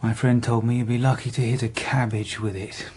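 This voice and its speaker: An actor playing a calm, dry senior detective in a radio drama, husky and understated. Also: male voice, mid-range voice, fairly quick, a little hiss or hum, close to an everyday voice. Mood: calm, gently probing. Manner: understated, gently probing. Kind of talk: naturalistic radio drama acting.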